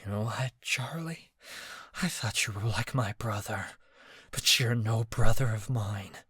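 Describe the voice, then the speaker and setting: A man's voice: moderate pace, husky, no echo. A man voicing a heartbroken young man who screams in anguish and sobs out desperate pleas. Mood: bitter sneering. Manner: shrill cracking shouts and sobbing pleas.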